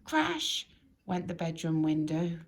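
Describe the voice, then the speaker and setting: A woman reads a children's story aloud in an expressive, emphatic storytelling manner. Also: on the low side, slow. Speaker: storybook reader.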